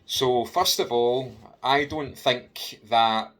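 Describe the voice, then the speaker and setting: A Scottish man giving an unscripted personal opinion rant in a halting way, repeating himself and putting questions straight to the listener. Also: mid-range voice, a bit bright. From Scotland, UK.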